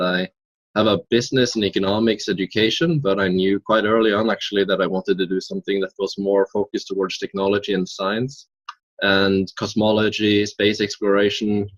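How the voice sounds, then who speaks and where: A young man in his twenties from Norway explains his work in a casual interview, his low voice earnest and thoughtful. He often stops on small hesitations. The recording is dry and slightly dull. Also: dry recording. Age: 20s. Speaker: interview guest.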